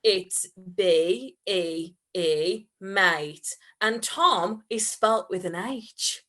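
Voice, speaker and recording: A woman's voice: medium pitch, drawn-out words, a bit bright. A woman voicing an exasperated male amateur performer turned bank robber in a comic story, theatrical and sarcastic.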